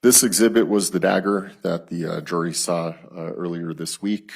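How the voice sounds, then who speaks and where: A man with an American accent giving formal, halting, matter-of-fact courtroom testimony as a police detective. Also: deep voice, steady tone, gravelly, breathy edge.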